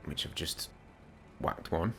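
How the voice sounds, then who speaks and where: A man with a slightly croaky voice, giving rambling, self-mocking gameplay commentary. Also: slow, sharp tone, faint music behind. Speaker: gaming YouTuber.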